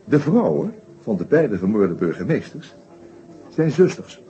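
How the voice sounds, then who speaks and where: An actor playing a shrewd, methodical detective inspector in a Dutch radio play, explaining his case deliberately with small hesitations. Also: medium pitch, sing-song, dark tone. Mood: explaining, confident. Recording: dull old radio play recording.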